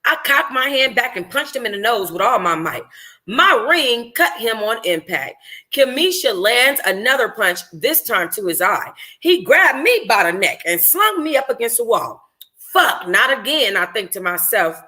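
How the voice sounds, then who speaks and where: Book club host: woman, bright forceful voice, informal and sassy, reading like a casual livestream. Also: on the high side, big pitch swings, moderate pace.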